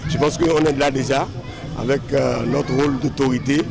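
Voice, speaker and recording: Halting Beninese man over music. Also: medium pitch, steady tone, creaky. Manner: halting, repeats himself. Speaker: local official. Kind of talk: spontaneous interview remarks.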